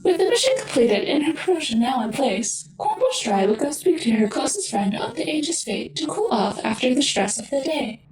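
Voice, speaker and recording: A woman voicing a poised computer intelligence that narrates military files in formal, measured tones for a sci-fi audio drama. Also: high voice, very animated, a crowd in the background. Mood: formal briefing. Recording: roomy echo, distant, under loud music.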